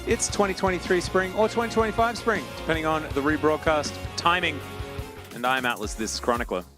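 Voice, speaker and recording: Relaxed Australian male esports caster. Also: a little high, fairly quick, over music. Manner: easygoing, joking, self-deprecating. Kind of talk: casual broadcast banter.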